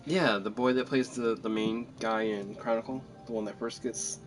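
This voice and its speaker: A man chatting casually as he streams a game, joking and rambling in an animated way. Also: mid-range voice, moderate pace, over music. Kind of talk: casual gameplay commentary and chat.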